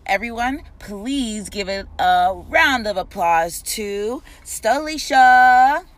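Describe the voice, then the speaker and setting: A woman's voice: on the high side, expressive, slow pace, a little hiss or hum. Upbeat woman podcast host. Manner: upbeat, rambling questions with many fillers. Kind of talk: casual podcast interview.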